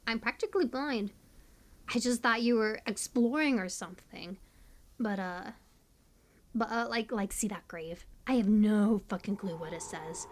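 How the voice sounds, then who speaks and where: A woman's voice: medium pitch, a little slow, lightly acted. A woman playing a hyperactive, kooky young stranger in an audio roleplay, rambling and stammering through playful, slangy banter addressed to the listener. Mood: awkward, opinionated. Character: hyperactive, chatty, kooky young female cemetery stranger, teasing.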